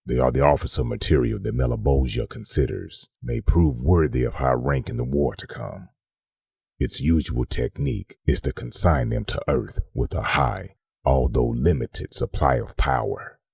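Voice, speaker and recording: A man performing a grave, clinical narrator who reads a demon-hunting manual in a measured, formal, gravelly voice, as scripted comic-dub narration. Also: extremely low pitch, expressive, a little mellow, dry recording, studio quality, full character voice. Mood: cold, instructive.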